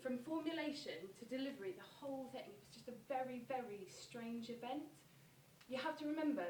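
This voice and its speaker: A woman's voice: a little high, close to an everyday voice. A woman playing an earnest eyewitness giving a verbatim monologue on stage. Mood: bemused. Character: earnest witness to a bizarre football club event, verbatim theatre. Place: theatre performance space, distant microphone. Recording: roomy stage recording, distant, faint noise.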